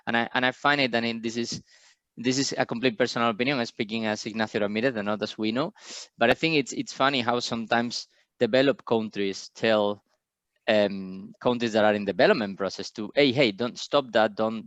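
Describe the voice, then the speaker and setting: A man's voice: lowish voice, no echo, dull audio. Passionate man on a call. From Spain. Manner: passionate, rhetorical questions. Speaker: panel speaker. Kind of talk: online panel discussion.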